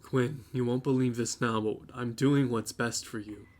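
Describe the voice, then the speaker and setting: A man's voice: medium pitch, fairly even intonation, normal speed, a little mellow, lightly acted. A young man acting in an audio drama as a conflicted, remorseful boyfriend, speaking earnestly and apologetically. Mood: pained, firm. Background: plucked guitar music.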